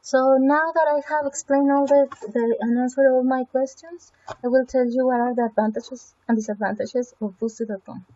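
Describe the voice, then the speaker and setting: A fairly high-voiced woman whose first language is Spanish talks through an evaluation in a mellow, soft tone. She pauses often, fills gaps with "um" and "uh", and restarts her phrases. The recording sounds dull and lo-fi. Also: fairly even intonation. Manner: hesitant, with frequent fillers and self-corrections. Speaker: student presenting a website evaluation. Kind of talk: semi-scripted spoken evaluation.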